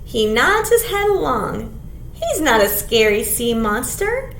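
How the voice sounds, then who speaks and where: Woman, children's read-aloud, sing-song, extremely slow, roomy sound with faint noise. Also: on the high side, vocal fry. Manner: sing-song, expressive, simple questions to children. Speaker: children's storytime librarian. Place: small indoor room.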